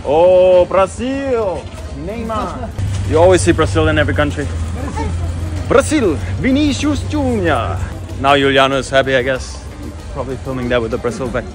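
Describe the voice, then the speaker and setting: A man in his thirties talks to a handheld camera at arm's length while strolling through a busy street market. His voice sits a little high for a man and stays bright and chatty. A music bed plays clearly underneath. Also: animated, normal speed. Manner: upbeat, chatty, greets passersby. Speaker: travel vlogger. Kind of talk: walking vlog to camera. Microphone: handheld action camera at arm's length.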